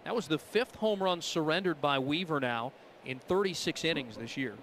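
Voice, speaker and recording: A man's voice: on the high side, animated, moderate pace, a bit bright, slight background noise. A man calling a baseball game live as the play-by-play announcer.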